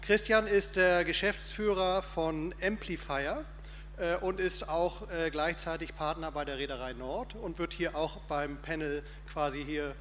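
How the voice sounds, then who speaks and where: Guy moderating a panel, pretty high voice, echoey stage sound, faint hum. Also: measured pace, fairly bright tone. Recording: reverberant PA sound with faint noise.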